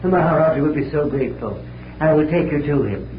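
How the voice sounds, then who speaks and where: An actor plays a polite male secretary serving a ruler in an old radio serial. He is deferential and careful, in a roomy, muffled recording with clear noise. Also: a little high, animated, fast talker, lightly acted. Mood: deferential, anxious. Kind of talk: old-time radio drama acting.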